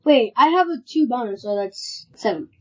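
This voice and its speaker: High-voiced female game player, muffled. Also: animated, moderate pace. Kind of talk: casual chat during a game. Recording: muffled lo-fi recording.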